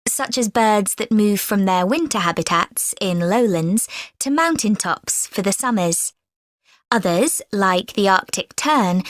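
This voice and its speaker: Woman, clear scripted presenter, mid pitch, dry studio sound. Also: no echo. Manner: clear, measured delivery. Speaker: lecture speaker in a listening exam recording. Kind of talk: scripted student presentation reading.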